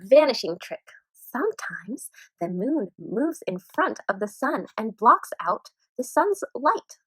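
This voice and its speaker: A woman teacher reading a children's nonfiction book aloud in an expressive storytime manner. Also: a little high, slow, breathy edge, poor audio.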